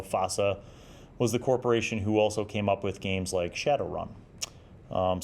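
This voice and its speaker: Low-voiced middle-aged man, casual and wry. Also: slight background noise. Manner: conversational, wry, self-described nerdy. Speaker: host of a retro tabletop gaming review series. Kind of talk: casual commentary, reading and reviewing a magazine article.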